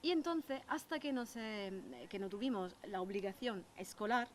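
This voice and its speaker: Italian-accented woman chatting, lots of ums and restarts, slightly high voice. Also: animated, normal speed, a little hiss or hum. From Sicily, Italy. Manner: explanatory, many fillers and restarts. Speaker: guest. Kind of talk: conversational interview in Spanish.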